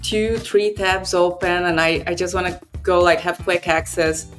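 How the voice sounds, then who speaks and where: A woman's voice: on the low side, moderate pace, soft background music. A young woman whose first language is Brazilian Portuguese, chatting casually on a video call from home about her work tools. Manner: relaxed, conversational, frequent "like" fillers. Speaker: developer relations guest. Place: home room.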